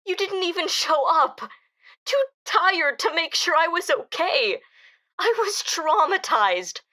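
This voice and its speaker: A woman's voice: very high voice, lively intonation, slow pace, bright, forceful tone, exaggerated voice. A woman acting the part of a distraught, defiant and sarcastic woman in an audio drama. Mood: angry, bitter. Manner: emotional, swearing, bitingly sarcastic. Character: distraught, defiant woman haunted by a traumatic night.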